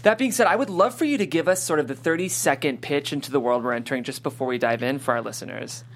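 A man's voice: a little high, fast, a bit bright. A man hosting a script reading and narrating stage directions in a descriptive storytelling style.

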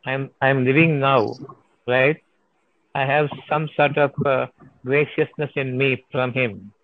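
A man's voice: mid-range voice, fairly even intonation, measured pace, no echo. A man answering audience questions about prayer, emphatic and given to repeating himself and asking rhetorical questions. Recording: tinny phone-like dry sound.

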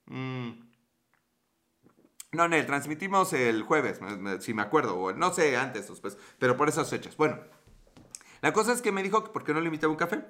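A man's voice: a little high, very animated, normal speed, pauses often, sharp tone. A young Mexican man hosting a livestream, telling a rambling, slangy story and answering chat, laughing often. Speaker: livestream host. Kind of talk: casual storytelling to live chat.

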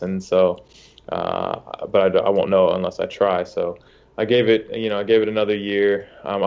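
Relaxed low-voiced young man, flat delivery. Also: lo-fi sound. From United States. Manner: easygoing, many fillers and restarts. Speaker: interview guest, soccer goalkeeper. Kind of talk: casual interview talk.

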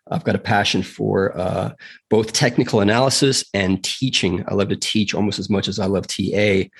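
A man's voice: on the low side, slightly rough. A man from South Africa, a podcast guest telling his story in a chatty, earnest way with frequent fillers. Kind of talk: casual storytelling in a podcast interview.

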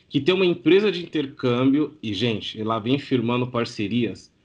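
Male host, Brazilian Portuguese accent, effusive, informal, medium pitch, slightly dull recording. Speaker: show host. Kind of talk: casual interview show.

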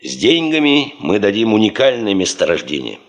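Низкоголосого, напористого начальника-геолога. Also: male voice, lowish voice, huge pitch swings, moderate pace. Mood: terse, insistent. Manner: terse, insistent, commanding. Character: driven, stubborn male geologist chief demanding money for gold prospecting. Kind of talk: Soviet radio play acting.

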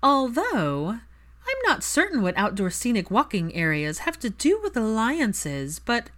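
A woman's voice: fairly high pitch, wildly swooping pitch, a little slow, a bit bright, voice pushed up, heavily acted. A woman voicing a nosy young woman friend in an audiobook, with a pointed, mocking, impatient manner. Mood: teasing.

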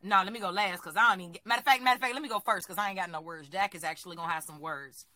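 A woman co-hosting a podcast in casual chat, animated and emphatic, swearing freely. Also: mid-range voice, racing, no echo, studio quality. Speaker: podcast co-host.

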